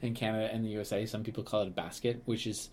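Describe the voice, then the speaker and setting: A man's voice: on the low side, fairly even intonation, very fast, a little mellow, slight background noise. Chatty man co-hosting a podcast. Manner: chatty and rambling, with frequent fillers, restarts and laughs. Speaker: podcast co-host, museum staff. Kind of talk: casual podcast chat. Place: Canada.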